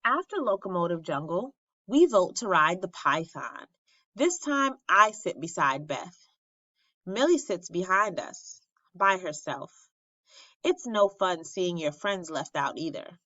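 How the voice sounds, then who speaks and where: A woman reads a children's story aloud expressively, with dramatic pauses and emphasis on key phrases. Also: lowish voice, expressive, bright, forceful tone. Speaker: storybook reader at an elementary school. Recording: roomy, slightly dull lo-fi recording.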